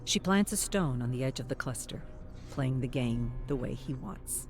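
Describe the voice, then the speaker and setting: Storybook style narrator, middle pitch, measured and dramatic, music underneath. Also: animated. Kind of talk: scripted story narration, present tense.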